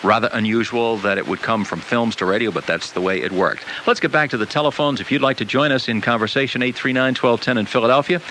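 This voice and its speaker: A man's voice: mid-range voice, fast, hardly any pauses, sharp tone. An American man hosting a live radio call-in interview, agreeable and often chiming in. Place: radio call-in program, taped off air. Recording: noisy home cassette of radio broadcast.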